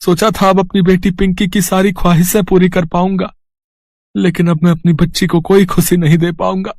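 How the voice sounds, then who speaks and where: Man acting an emotional, high-pitched village farmer. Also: flat delivery, moderate pace, darkish tone, no room sound, studio quality. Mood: anguished. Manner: earnest, emphatic, melodramatic. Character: poor hardworking farmer, loving, emotional father. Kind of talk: Hindi animated moral story acting.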